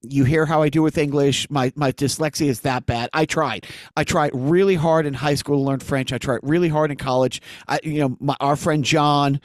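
A man with a slightly high voice hosts a relaxed chat. He rambles through anecdote after anecdote, recorded close and dry with no room sound. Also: normal speed, no room sound. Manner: rambling storyteller, jumping between anecdotes. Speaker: podcast host. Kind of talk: casual chat.

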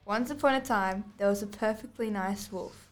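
A woman's voice: medium pitch, normal speed. A girl of about eleven or twelve reading scripted storybook narration aloud as a student podcast host. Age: child, about 11 to 12.

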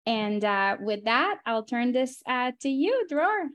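Female moderator, slightly high voice, friendly and explanatory, on a video call. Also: very animated, slow, a bit bright, lo-fi sound. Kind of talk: hosting an online class.

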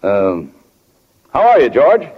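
A man's voice: mid-range voice, huge pitch swings, slow, a little hiss or hum. An actor playing a kindly, flustered middle-aged small-town father in 1940s radio drama, hesitant and folksy, often fumbling for words. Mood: awkward, hesitant. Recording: dull, lo-fi 1940 radio recording.

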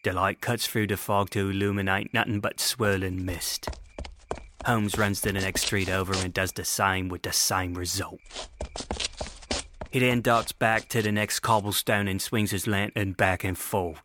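A man's voice: low-pitched, flat delivery, unhurried, noisy background. A man giving florid, dramatic scripted narration for an audio drama. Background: loud music.

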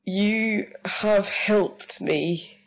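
A woman's voice: mid-range voice, very slow, poor audio. A woman with a cold, leaving a heartfelt, sweary voice message for a host. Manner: heartfelt, sweary, laughing through tears. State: full of a cold, rough-sounding. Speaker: listener sending a voice message.

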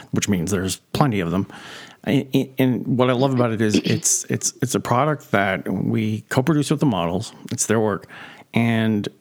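A man hosting a casual podcast interview, his voice sitting somewhat low. He talks loosely, circling back with fillers and restarts as he thinks aloud. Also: gravelly. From Pennsylvania. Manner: rambling, lots of fillers and restarts. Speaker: podcast host.

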